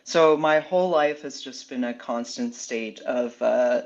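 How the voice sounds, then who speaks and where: A guest author from Western Canada, speaking at a mid pitch. They answer questions hesitantly, pausing on fillers and restarting sentences as they go. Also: muffled recording. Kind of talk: casual interview answers.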